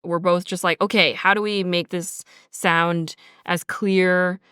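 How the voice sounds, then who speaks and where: A woman co-hosts a relaxed podcast chat about creative work. Her voice is smooth and a little low, recorded close and dry. She talks informally, sprinkling "like" through her sentences. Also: takes it slowly, dry recording. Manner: informal, peppers talk with "like". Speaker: podcast co-host, audio drama writer and sound designer. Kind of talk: casual behind-the-scenes podcast chat.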